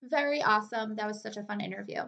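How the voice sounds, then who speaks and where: A woman's voice: medium pitch, fast, vocal fry, fairly bright tone, dull audio. A woman hosting a podcast in an enthusiastic, informal, chatty way. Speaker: podcast host. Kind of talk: casual chat.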